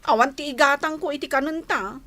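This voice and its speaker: High-pitched fretful young wife. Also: female voice, brisk, a bit bright. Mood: complaining. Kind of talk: Ilocano radio comedy drama acting.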